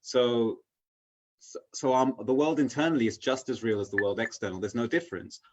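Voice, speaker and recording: A man's voice: medium pitch, lively intonation, brisk, lo-fi sound, noisy background. A man chatting as an interview guest in a casual, reflective way, earnest and rambling as he works through ideas. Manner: earnest, rambling, philosophical, often checking in with "you know".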